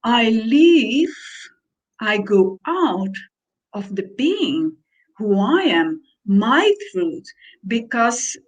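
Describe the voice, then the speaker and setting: A woman whose first language is Dutch, co-hosting a reflective conversation and searching slowly for her words. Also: on the high side, sing-song, dull audio. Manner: slow, searching, thoughtful phrasing. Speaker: podcast co-host.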